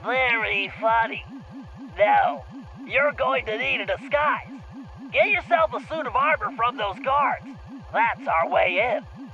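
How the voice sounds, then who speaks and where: A man voicing a nerdy, brainy turtle gadget expert for an animated video game, with a nasal, fussy, precise delivery over a radio communicator. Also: very high voice, slow, vocal fry, highs cut off, full character voice. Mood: impatient. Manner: fussy, precise, briefing style. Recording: band-limited radio-like sound, faint music behind.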